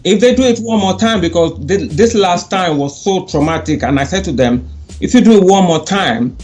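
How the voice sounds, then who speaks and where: A woman from Nigeria, a guest in a casual discussion who stresses her points by slowing her words down. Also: fairly low pitch, lively intonation, darkish tone.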